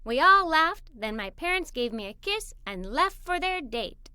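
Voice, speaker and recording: A woman reading a scripted children's story aloud in an animated, expressive way. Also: sits high, a little slow, no echo, clean studio sound. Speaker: story narrator. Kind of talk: scripted children's story read-aloud, first person as a young girl.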